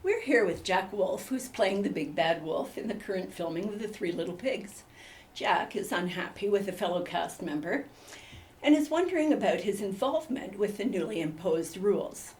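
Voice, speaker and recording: A woman's voice: mid-range voice, lively intonation, moderate pace, darkish tone, slight background noise, close to an everyday voice. A woman playing a polite, sympathetic interviewer in a scripted comedy mock news report. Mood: polite, attentive.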